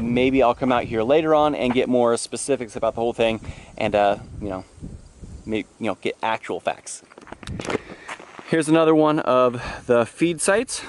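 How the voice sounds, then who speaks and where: A man in his thirties giving an informal, off-the-cuff walking tour on a handheld camera outdoors. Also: medium pitch. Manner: informal, loosely explanatory. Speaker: farm tour vlogger. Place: outdoors in farm pens. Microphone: handheld action camera at arm's length. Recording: outdoor camera audio with noise and clicks.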